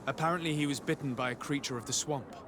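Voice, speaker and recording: A man's voice: mid-range voice, fast talker, background noise, natural voice. A man playing a courteous, composed noble envoy in video game cutscene dialogue. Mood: calm, polite.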